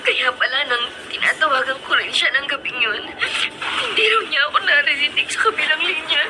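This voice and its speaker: A woman's voice: high voice, normal speed, lightly acted. An actress playing a distraught young mother in a Filipino radio drama, pleading tearfully and urgently with a Filipino accent. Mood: anguished. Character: distraught young mother fleeing her controlling mother. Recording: noisy radio drama with loud music underneath.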